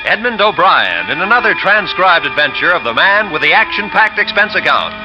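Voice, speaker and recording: A man announcing the show's hero in a 1950s radio broadcast, with a booming promotional delivery. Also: big pitch swings, a little fast. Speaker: show announcer. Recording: dull old radio recording with music.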